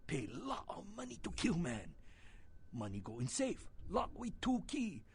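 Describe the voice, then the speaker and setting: Creaky, boastful old Chinese hitman. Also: high voice, huge pitch swings, a little slow, heavily acted. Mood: conspiratorial, suspicious. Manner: broken English, refers to himself in third person. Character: boastful, rambling retired Chinese hitman, shady and bitter. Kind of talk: video game character acting.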